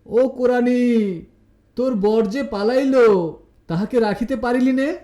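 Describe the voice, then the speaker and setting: A man's voice: very high voice, a little slow, dark tone, voice pushed up. A man voicing an impulsive young married woman in an audio story, exclaiming, scolding and pleading with emphatic delivery. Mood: sharp teasing alarm. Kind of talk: audiobook character dialogue.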